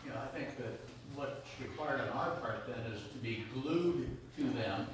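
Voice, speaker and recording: A man, a former club president, speaking firmly but haltingly from the floor of a meeting. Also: medium pitch, lively intonation. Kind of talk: community meeting discussion. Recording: very echoey hall, from far off, with noise.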